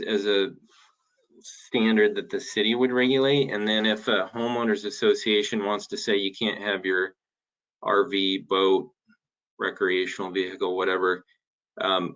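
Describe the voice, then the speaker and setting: Male planner, bright forceful voice on the low side, hesitant, thinking aloud in a meeting. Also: highs cut off. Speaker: city planner presenting a code draft. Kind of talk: informal meeting discussion.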